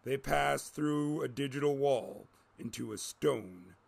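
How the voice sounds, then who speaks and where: A man's voice: mid-range voice, expressive, slow, gravelly, darkish tone, slightly rough. A man reading a scripted story as a dramatic narrator.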